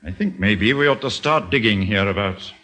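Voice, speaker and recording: A man's voice: on the low side, animated, normal speed, pushed and bright, soft background music. A man playing a dogged, courteous British police detective in old-time radio drama, clipped and firmly commanding. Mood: thoughtful, dogged.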